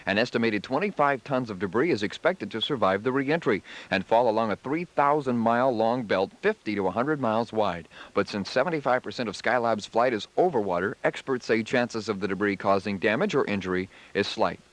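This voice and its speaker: A man's voice: lowish voice, expressive, brisk, few pauses. An American man working as a radio DJ, delivering music patter and news in a 1970s style. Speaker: radio DJ and newsreader.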